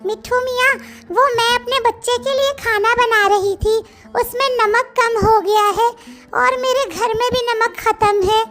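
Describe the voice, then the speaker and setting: A woman's voice: very high-pitched, fairly even intonation, a little slow, fairly bright tone, soft background music. A woman voicing a kind, motherly myna bird in a children's cartoon, with a humble, caring, sisterly way of talking. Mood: polite, pleading. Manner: polite, pleading, sisterly way of talking.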